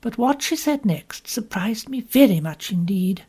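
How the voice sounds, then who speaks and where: A man voicing a prim, gossipy spinster who narrates an audiobook story in fussy, measured asides. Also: high-pitched, lively intonation, mellow, breathy edge, full character voice. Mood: prim, confiding. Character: prim, gossipy English village spinster telling her story. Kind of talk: first-person audiobook narration.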